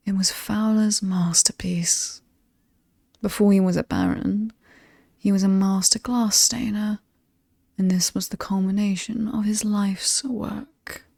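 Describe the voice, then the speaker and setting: A woman with a British accent playing an alluring, sinister ageless demon in a horror ASMR roleplay, breathy and half-whispered, teasing and lingering over her words. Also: medium pitch, a little mellow, no echo, studio quality. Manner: seductive, teasing, theatrically ominous, lingering on words.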